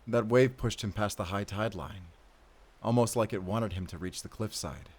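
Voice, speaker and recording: A man playing a weary, compassionate wolf hunter in a cinematic audio roleplay, speaking in a quiet, reflective, measured way. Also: lowish voice, husky. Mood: weary, bittersweet.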